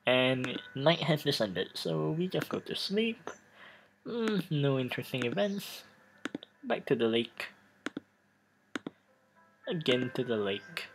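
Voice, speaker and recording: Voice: medium pitch, big pitch swings, background noise. A let's play host of unclear sex narrating a game casually and reading its text aloud, often stumbling and restarting. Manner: halting, stumbles over read text and restarts. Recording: music playing behind voice. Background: background music.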